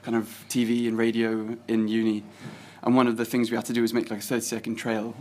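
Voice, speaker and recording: A man's voice: fairly low pitch, completely flat delivery, fast, darkish tone. Rambling young man, hesitant and chatty. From England. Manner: rambling, hesitant, laughs easily. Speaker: guest filmmaker. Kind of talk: casual chat.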